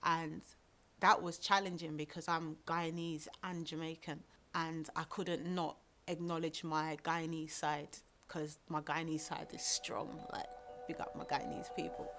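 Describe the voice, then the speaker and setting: A woman's voice: low voice, little pitch movement, a little slow, a bit bright. A woman, talking about her heritage in a documentary interview. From South London. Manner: chatty, many fillers like "like" and "um". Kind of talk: personal testimony.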